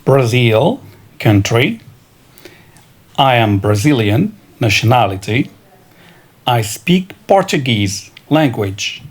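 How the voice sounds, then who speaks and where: Man, English teacher, vocabulary drill, very slow, lively pitch. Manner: slow, deliberate, spells out each word. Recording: clear background noise.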